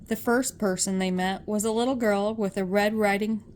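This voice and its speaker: An older woman reading a children's story aloud as a storyteller, measured and expressive. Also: medium pitch, slight background noise.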